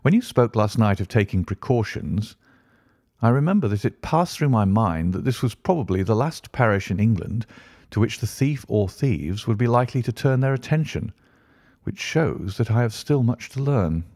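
A man voicing a keen, thoughtful gentleman detective, measured and precise, in an audiobook story. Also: lowish voice, animated, dry recording, clean studio sound, voice pushed up. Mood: musing.

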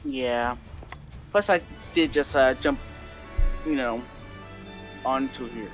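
A man improvising an easygoing, tired young newcomer in a Minecraft roleplay, speaking casually and haltingly. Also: mid-range voice, soft background music, lightly acted. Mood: casual, agreeable.

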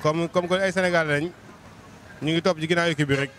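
Senegalese crew member, measured, higher voice, faint noise. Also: male voice, fairly even intonation, a bit bright. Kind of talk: casual interview answers. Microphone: handheld mic.

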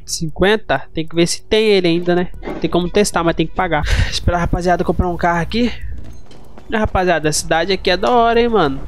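A young Brazilian man streams a game in casual chatter. His voice sits high for a man, picked up on a close microphone with faint music behind. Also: fast. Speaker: streamer playing a roleplay game. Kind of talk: casual gameplay chat.

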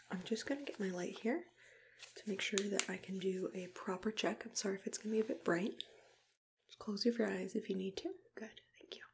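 Whispering young woman, gentle ASMR dentist. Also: medium pitch. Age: young adult. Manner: soft-spoken, gentle, reassuring, unhurried. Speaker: ASMR creator playing a dentist. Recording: clear background noise and room ambience.

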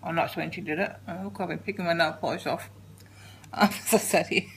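An Australian woman in her forties chatting through a daily home vlog, rambling with soft ums and sounding a little congested. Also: on the low side, fairly even intonation, fast talker, a little hiss or hum. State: congested, getting a cold. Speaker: vlogger. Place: living room couch at home. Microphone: handheld camera held close.

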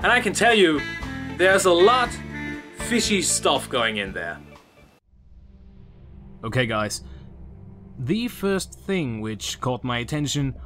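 A young man in his twenties with a German accent, earnestly talking through a game theory from his bedroom, with a few filler pauses. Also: a little high, sing-song, sharp tone, faint music behind. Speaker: YouTuber. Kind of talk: game theory video commentary. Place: small bedroom with shelves, indoors.

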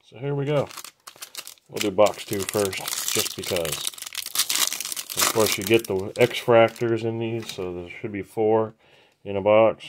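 A man hosting a trading card break, casually naming each card as he opens the packs in an unhurried, matter-of-fact way. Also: fairly low pitch, noisy background. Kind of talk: casual pack-opening commentary.

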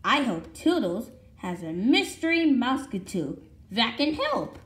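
High-pitched, animated woman reading slowly. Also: echoey room. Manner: stumbles over words and restarts. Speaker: read-along presenter. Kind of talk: reading a children's picture book aloud. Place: indoor room at home with wooden floor.

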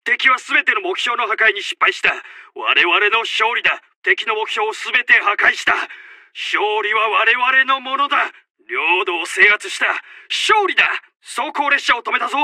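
A man's voice: high voice, expressive, measured pace, sharp tone, no room sound, exaggerated voice. A man playing a stern, urgent Japanese army officer in a war video game, barking clipped tactical announcements and orders. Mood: urgent, reporting. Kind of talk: shouted tactical voice lines.

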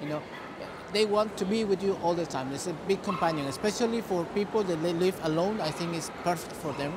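A man's voice: sits high, brisk, background noise. A middle-aged man with a Costa Rican Spanish accent, a native Spanish speaker, giving conversational interview answers as a dog breeder. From Costa Rica. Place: large busy indoor dog show hall. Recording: busy echoing show hall din. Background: hall ambience and bustle.

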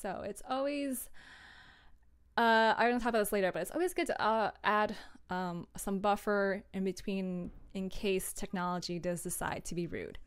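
Young female streamer, smooth clear voice, informal and giggly, talking through a work process. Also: medium pitch, normal speed. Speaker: streamer and audio drama producer. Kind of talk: casual chat, explaining her work process.

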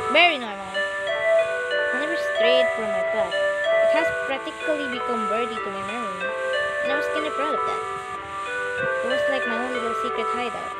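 High-voiced woman over loud game music. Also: rough recording. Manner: expressive, excitable, swearing in asides. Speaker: gamer recording a playthrough. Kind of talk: reading visual novel aloud with reactions. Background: loud intense game music.

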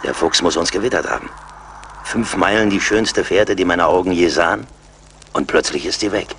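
Casting: confident woodsman scout in radio drama, very low voice, wry, faint noise behind. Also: normal speed, fairly bright tone. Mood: alert. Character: seasoned frontier scout, confident, wry, steady leader. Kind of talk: German radio play acting.

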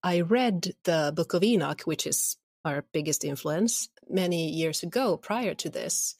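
A woman's voice: lowish voice, animated, measured pace, a little mellow, no echo, clean studio sound. A woman chatting casually as an interview guest, with a wry, playful manner. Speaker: audio drama creator, podcast guest.

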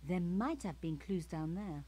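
An actress playing a young female journalist in an adventure game, narrating her investigation in a calm, wry, measured way. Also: lowish voice, breathy edge.

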